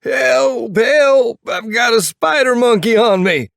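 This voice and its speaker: A man's voice: medium pitch, very animated, unhurried, vocal fry, a little mellow, no echo, studio quality, voice pushed up, exaggerated voice. A man voicing a playful pretty-boy biker in an audiobook, mocking and teasing as he talks. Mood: mock panic.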